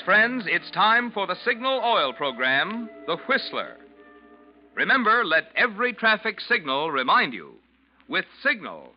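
A man announcing a sponsored program in a 1940s radio style. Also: sits high. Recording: dull old radio recording.

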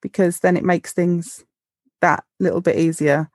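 A woman's voice: fairly low pitch, steady tone, normal speed, darkish tone, dry recording, clean studio sound. A woman in her thirties co-hosting a podcast, speaking frankly and openly in casual, heartfelt conversation with a wry edge. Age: 30s. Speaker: podcast co-host.

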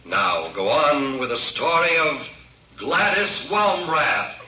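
A man's voice: on the high side, sing-song, slow pace, dull audio. A man playing a solemn, melodramatic soap opera announcer, heard through a radio set. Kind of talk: 1940s radio serial narration. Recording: distant, echoey, noisy old radio recording.

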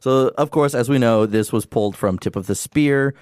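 A man co-hosting a casual gaming podcast, chatty and enthusiastic, with quick asides. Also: mid-range voice, a little mellow, clean tone, dry recording, studio quality. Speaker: podcast co-host.

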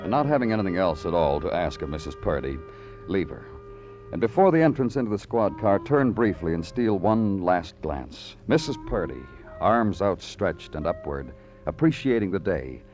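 An actor playing a terse, patient police detective in a 1950s radio crime drama, with a clipped, deadpan delivery. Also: male voice, low-pitched, normal speed. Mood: wry narration. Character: terse, dry, patient male police detective narrating his case. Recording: dull old radio recording, music behind.

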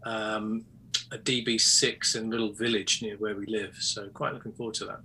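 A man's voice: on the low side, flat delivery, normal speed, bright, forceful tone, slight background noise, low-quality recording. A man in his sixties from England, a photographer chatting as a guest in an interview, hesitant with many ums and restarts, over a video call. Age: 60s. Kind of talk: casual interview chat.

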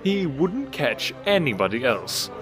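Animated male storyteller over music. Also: medium pitch, a little slow. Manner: theatrical, amused. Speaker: narrator. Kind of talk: expressive scripted storybook narration.

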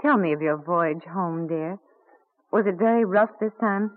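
A woman's voice: fairly low pitch, unhurried, lightly acted. A woman playing a devoted grown daughter in an old-time radio drama, speaking in a tender, solicitous and admiring way. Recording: muffled, noisy vintage radio recording.

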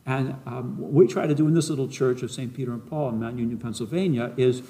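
A man's voice: medium pitch, fast talker, mellow. Male priest, interview guest. Reflective, meandering delivery, average register. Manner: thoughtful, rambling with restarts. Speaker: parish priest. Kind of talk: casual interview answers.

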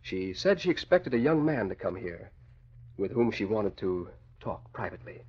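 An actor playing a polite, hesitant, formal household servant with a gossiping streak in an old radio drama. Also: male voice, fairly low pitch, big pitch swings, normal speed, a little mellow, breathy edge. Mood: tattling. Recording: dull, lo-fi old radio recording.

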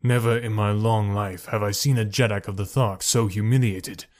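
A man's voice: lowish voice, fairly even intonation, moderate pace, close to an everyday voice. A man voicing an aged male alien council elder with a cold, hard voice.

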